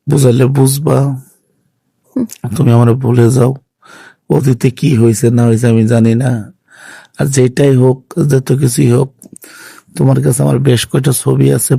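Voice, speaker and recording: A man's voice: medium pitch, gravelly, warm tone, husky, dry recording. A man playing a regretful young lover, pleading in a subdued way in colloquial Bangladeshi Bengali on an emotional phone-call drama.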